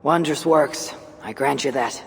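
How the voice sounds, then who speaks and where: Stern Viking raider for a game, grim and terse, mid pitch, long hall reverb over music. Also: a little slow, gravelly, a little hiss or hum. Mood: grim resolve. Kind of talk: cinematic game dialogue.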